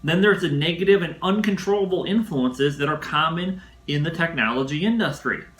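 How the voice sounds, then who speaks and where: A man presenting a scripted instructional sales talk as a coach, in a didactic, emphatic way with measured phrasing. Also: on the high side, fairly bright tone, echoey room.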